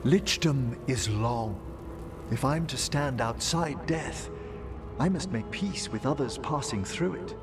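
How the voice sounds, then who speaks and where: An actor playing a refined, earnest necromancer scholar in a fantasy game, eloquent and formal with a tender manner. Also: male voice, medium pitch, expressive, takes it slowly, over music. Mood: torn, yearning.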